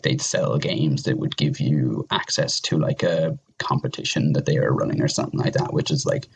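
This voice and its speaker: Male podcast panelist, deep and slightly rough voice, casual, emphatic and opinionated. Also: low-quality recording. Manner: rambling, emphatic, opinionated, mild swearing. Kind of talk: casual discussion.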